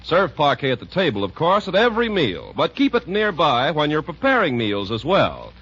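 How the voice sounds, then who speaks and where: A man's voice: huge pitch swings, moderate pace, a bit bright, slightly rough. A man working as a 1940s radio announcer, reading scripted ads and narration with polished salesmanship. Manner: polished sales-pitch delivery. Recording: muffled 1940s radio recording.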